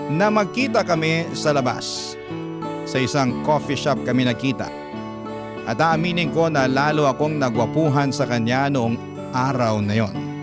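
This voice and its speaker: Male radio storyteller, Filipino accent, medium pitch, scripted narration, prominent guitar bed. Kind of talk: first-person scripted narration of a listener's letter. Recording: guitar music bed under voice.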